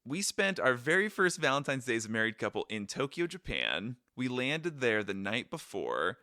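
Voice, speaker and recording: A man's voice: medium pitch, normal speed, no echo, studio quality. A man hosting a travel podcast in casual, joking chat with his wife. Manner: playful, jokey, lively. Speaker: podcast host.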